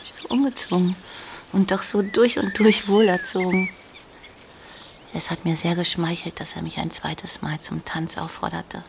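A woman playing a gentle, trusting young sister in a German radio drama, speaking softly and earnestly. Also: on the low side, little pitch movement, measured pace. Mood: tender, admiring. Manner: soft, earnest, partly breathy. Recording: clear outdoor noise with birdsong.

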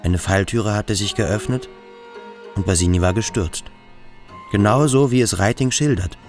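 An actor playing a brooding narrator who voices a troubled youth's thoughts, in introspective radio-play style. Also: male voice, on the low side, normal speed, natural voice. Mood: brooding, unsettled. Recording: clear music under the voice. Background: music.

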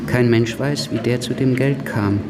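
Man acting earnest junior diplomat, mid-pitched. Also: fairly even intonation, a little slow, very noisy, close to an everyday voice. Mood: informative. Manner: reporting, insistent. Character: earnest junior German diplomat briefing his boss, a man. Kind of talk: German radio play dialogue. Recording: radio drama voice over loud music.

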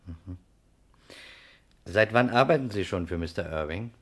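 Very low voice, calm detective, terse and businesslike, 1960s radio crime play. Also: male voice, heavily acted. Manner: measured, terse, matter-of-fact. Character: calm, probing male investigator. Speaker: investigator. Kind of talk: 1960s German radio crime drama acting.

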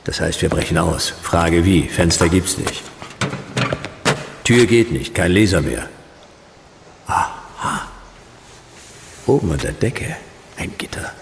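An actor playing a cynical hard-boiled detective in a noir radio drama, speaking in dry, clipped, deadpan phrases. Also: male voice, sits low, unhurried, vocal fry, pauses often, a little hiss or hum. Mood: brisk, determined.

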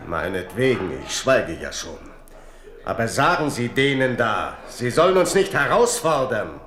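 Needling, sarcastic captain for radio drama; very varied intonation, echoey room. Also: male voice, medium pitch, very animated, unhurried, fairly bright tone. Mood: menacing. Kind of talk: German radio drama acting.

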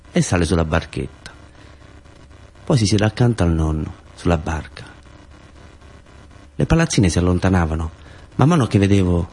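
A man's voice: lowish voice, a little mellow, slightly rough. A man with a southern Italian accent telling a first-person theatre story in short phrases with incantatory repetition. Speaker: actor-storyteller.

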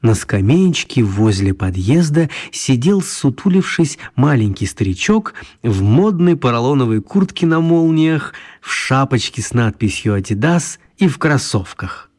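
A man narrating a children's radio story in an expressive, mock-grand dramatic style. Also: medium pitch, a little slow, hardly any pauses. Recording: clear background noise and ambience.

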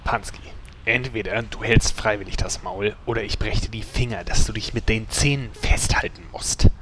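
A man playing a grumpy, exasperated boss with a menacing deadpan, in a German comedy audio drama. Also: lowish voice, normal speed, background noise, close to an everyday voice. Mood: exasperated, threatening.

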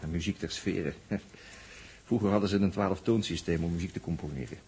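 A man's voice: deep voice, fairly quick, dark tone. An actor playing a chatty, wry crewman in a 1960s Dutch radio drama, rambling and repeating himself in a Netherlands accent. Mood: musing. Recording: noisy 1960s radio recording.

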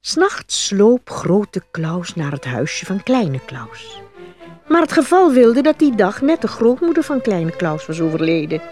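A woman reading scripted fairy-tale narration as an expressive storyteller. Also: medium pitch, unhurried, soft background music.

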